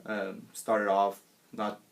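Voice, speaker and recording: Young guy from norway, english, low flat voice, short halting answers. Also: creaky. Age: young adult. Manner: plain, modest, halting with short phrases. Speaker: college bowler. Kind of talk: casual interview answers.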